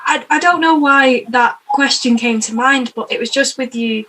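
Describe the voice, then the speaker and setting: Higher-voiced woman hosting a podcast. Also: sharp tone, slight background noise, rough recording. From United Kingdom. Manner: chatty, eager, quick to affirm. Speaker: podcast host. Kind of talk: casual interview chat.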